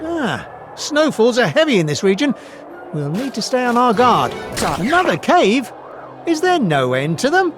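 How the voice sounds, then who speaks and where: A man voicing a clever, level-headed little Gaulish warrior in a cartoon game, composed, brisk and wry. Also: extremely high pitch, wildly swooping pitch, exaggerated voice. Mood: firm. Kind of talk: animated game cutscene acting. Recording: music behind the voice.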